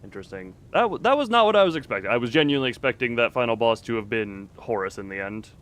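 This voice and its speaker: A man's voice: medium pitch, expressive, fast, fairly bright tone. A man livestreaming with casual chat, muttering and thinking aloud in a distracted way. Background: background music.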